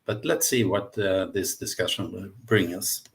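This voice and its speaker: A man with a Swedish accent giving a webinar presentation as guest speaker, often pausing mid-sentence. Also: low voice, vocal fry. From Sweden. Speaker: guest speaker, management consultant. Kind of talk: webinar presentation, semi-spontaneous.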